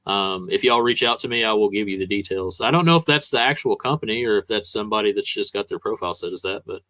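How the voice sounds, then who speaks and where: A man's voice: on the low side, quick pace, sharp tone, no room sound. A man hosting a car audio podcast, joking around and plugging his show in casual chat. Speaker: podcast host.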